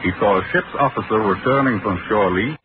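An actor playing a calm, authoritative male doctor explaining a crime in 1940s radio drama, measured and formal. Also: lowish voice, natural voice. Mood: composed. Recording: dull old radio broadcast with hiss.

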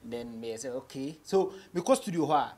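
A man's voice: mid-range voice, lively intonation, a little fast, darkish tone. A Ghanaian man in his thirties chatting casually as an interview guest, mixing English with Twi. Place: small office studio, indoors.

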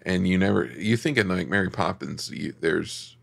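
A man with a very low, gravelly voice talks through a film review in casual podcast chat. He hesitates and restarts often, working out his thoughts as he goes. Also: moderate pace. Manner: hesitant, thinks aloud with many restarts. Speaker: podcast co-host.

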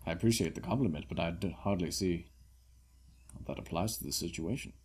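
A man's voice: sits low, brisk, darkish tone, slightly rough. A young man co-hosting a casual pool game video, teasing in a mock-formal manner. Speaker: gamer co-host. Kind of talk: casual gaming chat.

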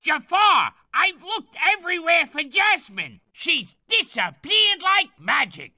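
A grating little parrot henchman from an animated game. He squawks irritably in a very high, sharp voice, a little away from the mic. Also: slow, dry recording, clean studio sound, recorded from a distance, exaggerated voice. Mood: exasperated. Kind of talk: animated game character acting.

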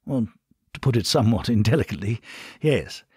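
Posh, genteel British gentleman. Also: on the low side, animated, brisk, slightly rough, no room sound, clean studio sound. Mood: explaining. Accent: upper-class British. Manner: polite, hesitant, old-fashioned diction. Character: genteel, polite, upper-class British big brother.

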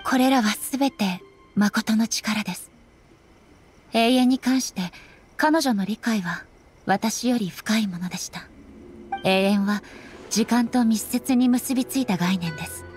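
A woman voicing a calm, earnest deity ruler in an anime game, speaking formally and politely with composure. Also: on the high side, a little slow, faint music behind, close to an everyday voice. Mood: solemn, reflective. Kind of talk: Japanese anime game voice acting.

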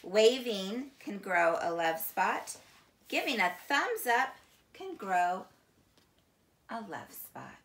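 Female read-aloud teacher, middle-aged, unhurried and expressive, crisp bright tone, slightly low-pitched, roomy sound. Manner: slow, deliberate, expressive storytelling. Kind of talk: children's picture book read-aloud. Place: small indoor room, seated on couch. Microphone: camera a few feet away. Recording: echoey room.

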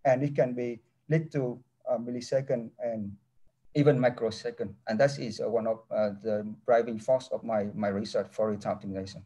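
Halting, mellow-voiced professor on a video call. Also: male voice, medium pitch, steady tone. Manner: halting non-native English with many restarts. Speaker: engineering professor. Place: office with large window. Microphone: laptop webcam mic on a video call.

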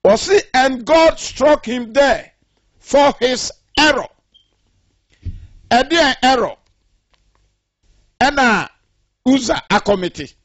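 A man with a Ghanaian accent, preaching a radio sermon and reading scripture aloud in a measured way. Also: extremely high pitch, animated, a bit bright, no room sound, lo-fi sound. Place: radio studio.

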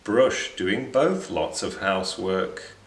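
A man in his thirties reading a rhyming children's picture book aloud in an expressive, measured, dramatic way. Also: low-pitched. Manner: measured, dramatic delivery for young listeners. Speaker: children's storybook reader. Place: small indoor room beside bookshelves. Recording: roomy indoor echo.

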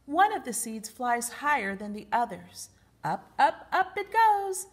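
A middle-aged woman with a slightly husky voice, reading picture books aloud expressively for young children as a library storytime reader. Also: high voice, very animated, a little slow.

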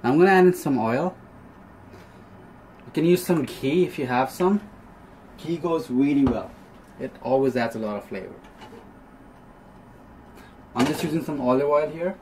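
A man's voice: mid-range voice, moderate pace, pauses often, darkish tone, slight background noise, low-quality recording. A young man giving a casual, step-by-step cooking demonstration as host in a small home kitchen. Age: young adult. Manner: explains step by step.

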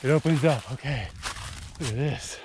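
A man's voice: mid-range voice, moderate pace. Man narrating a hike over noisy outdoor sound. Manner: exclamatory, talking to himself in short bursts. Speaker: hiking vlogger. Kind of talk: casual off-the-cuff trail narration. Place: outdoors on foot in a rocky wooded canyon. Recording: outdoor recording with clear handling and nature noise.

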